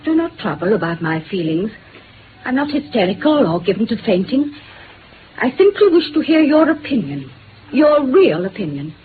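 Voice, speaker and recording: A woman's voice: on the high side, big pitch swings, moderate pace. A woman playing a composed, direct, genteel wife under strain in a 1950s radio drama, speaking formally. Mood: firm, pleading. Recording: tinny, faintly noisy old radio recording.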